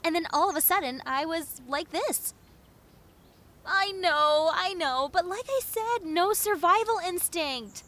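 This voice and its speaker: Woman acting a high-pitched, chatty, friendly zombie girl. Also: a little slow, exaggerated voice. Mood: playful, animated. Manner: rambling, bubbly, expressive, with hesitant ums and dramatic emphasis. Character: clumsy, chatty, friendly pacifist young female zombie. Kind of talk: character roleplay audio drama, one-sided conversation.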